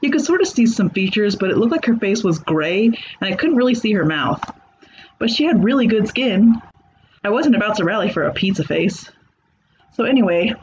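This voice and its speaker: A man's voice: high voice, lo-fi sound, a little hiss or hum. A man reading a comedic horror story aloud with a dry, sarcastic delivery. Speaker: narrator. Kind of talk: comedic creepypasta narration.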